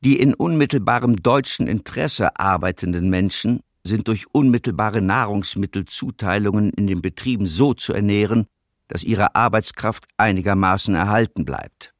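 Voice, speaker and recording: An actor playing a cold Nazi official, reading out a formal document in a matter-of-fact, bureaucratic way for a radio documentary. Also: male voice, lowish voice, moderate pace, dry recording, clean studio sound, natural voice.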